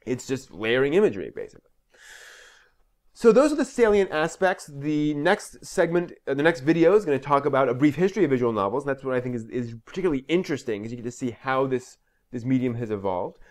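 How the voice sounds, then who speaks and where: A man's voice: mid-range voice, expressive, fast talker. A man hosting an explainer video, speaking in an informal, conversational way with emphatic stresses and asides that trail off.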